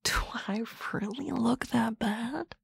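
A woman's voice: a little high, slow, gravelly, husky, no room sound, full character voice. A woman voicing a drunk young female supervisor in an ASMR roleplay, rambling and often dropping to a whisper. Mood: tipsy. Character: tipsy young woman boss, flustered, shy crush. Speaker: voice actress.

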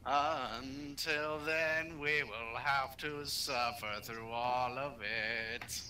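A man's voice: medium pitch, drawn-out words, pushed and bright. A man chatting casually and teasingly as a co-player during a video game. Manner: teasing, bantering. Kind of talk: casual joking game chat.

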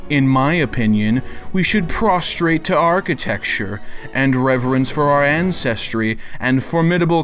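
A man giving a pompous, theatrical dramatic reading of a written rant, slowly and deliberately. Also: medium pitch, clean tone. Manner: pompous, slow, theatrical delivery.

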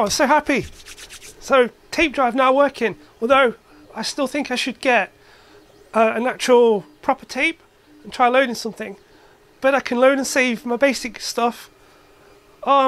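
A man's voice: extremely high pitch, steady tone, measured pace, fairly bright tone. A man giving a casual, hands-on demonstration as an electronics hobbyist in his workshop. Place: cluttered indoor electronics workshop.

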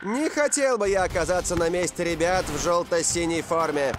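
An actor playing an excitable male football commentator in a cartoon, emphatic like an announcer. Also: high voice, moderate pace, lightly acted. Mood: excited. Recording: music under commentary.

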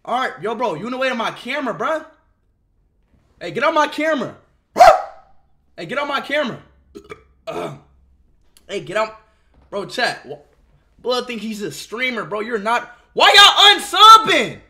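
A young man livestreaming from his bedroom on a headset mic, loud and excitable, often yelling at his chat. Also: very high voice, normal speed, pauses often. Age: young adult.

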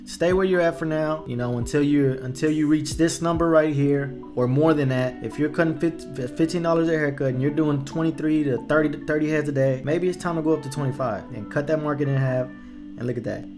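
A young man from Texas sits at a desk mic in a small room, walking "you guys" through haircut prices and yearly income in a relaxed, conversational tutorial. He talks the way he would to a friend and often stumbles over a word twice while he thinks. Faint music plays underneath. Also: a little high, brisk. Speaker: barber teaching business. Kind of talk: casual screen-share tutorial. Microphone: desk microphone at a computer, webcam in corner.

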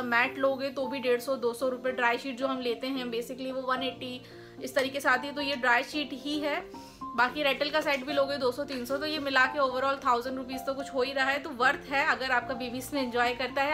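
A young woman with a high, bright voice talks through a baby product review in a chatty, explanatory way, slipping English phrases into her sentences. She speaks to a camera in a small bedroom, with music playing clearly underneath. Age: young adult. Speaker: parenting vlogger reviewing a baby toy. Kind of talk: casual product review, Hindi mixed with English.

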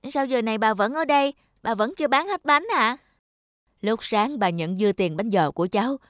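A woman's voice: a little high, lively intonation, normal speed, dry recording, clean studio sound. A woman voicing a kindly, forgiving old woman who sells dumplings, in a cartoon. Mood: kind, gentle. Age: older. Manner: gentle, forgiving. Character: kindly, hard-working old woman selling rice dumplings. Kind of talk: cartoon dubbing. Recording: music behind the voice. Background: background music.

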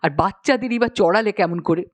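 A woman's voice: medium pitch, brisk, natural voice. A woman voicing an exasperated, reproachful father in a comic story. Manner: scolding, reproachful. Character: stern, exasperated middle-aged father.

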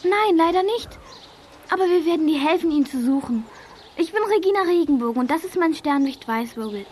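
A woman's voice: very high-pitched, lively intonation, fairly quick, a little hiss or hum. A woman playing a kind, reassuring girl heroine in a children's radio play. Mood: friendly. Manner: reassuring, gently chiding.

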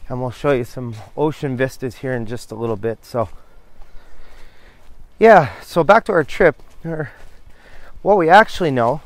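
A man's voice: medium pitch, unhurried, long pauses. A middle-aged man chatting casually while walking through windy woods, rambling and interrupting himself as he talks into a handheld camera. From New Brunswick, Canada. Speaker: vlogger. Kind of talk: casual hiking vlog chat. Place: outdoors in windy coastal woods.

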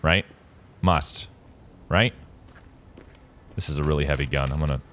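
A man's voice: extremely low pitch, measured pace, fairly bright tone, a little hiss or hum. An American man giving casual, jokey gameplay commentary, slangy and talking back to the game. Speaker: gaming video host. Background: game music and vehicle sounds.

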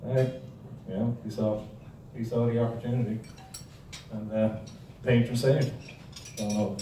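A man's voice: fairly low pitch, little pitch movement, a little slow, dark tone. An Irish man in his sixties giving a wry, rambling, humorous history talk to a club. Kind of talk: humorous informal history lecture with slides. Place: indoor hall with projection screen. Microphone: handheld or stand microphone, recorded from audience camera. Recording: echoey room, distant mic, noisy background.

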